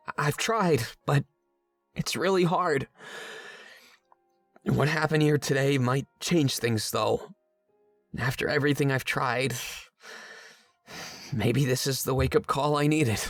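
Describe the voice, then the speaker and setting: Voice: mid-range voice, slow, pauses often, no room sound, soft background music. An actor playing an insecure, abandoned young game companion who longs to belong, speaking hesitantly and earnestly. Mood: hesitant, subdued. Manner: hesitant, emotionally open, earnest. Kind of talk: visual novel character voice acting.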